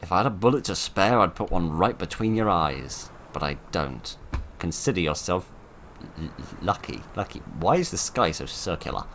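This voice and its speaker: Hoarse low-voiced man playing a game. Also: animated, normal speed, hardly any pauses, fairly bright tone, slight background noise. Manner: chatty, wry, exasperated outbursts at the game. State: getting hoarse. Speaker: gaming commentator. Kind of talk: let's play commentary.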